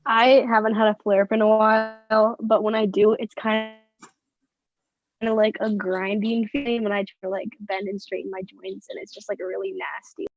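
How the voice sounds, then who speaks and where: A woman's voice: medium pitch, little pitch movement, normal speed, faint music behind. A teenage girl from Minnesota on a panel, talking casually with many fillers. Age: teens. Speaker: panel guest. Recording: dull lo-fi sound.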